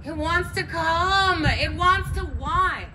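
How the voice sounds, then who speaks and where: A woman's voice: very high voice, slow pace, sharp tone, exaggerated voice. A woman performing an angry comic stage monologue as an indignant, earthy character with emphatic delivery. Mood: demanding, exasperated. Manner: emphatic, repetitive, swearing. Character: indignant, earthy woman ranting about her body. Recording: noisy live venue recording.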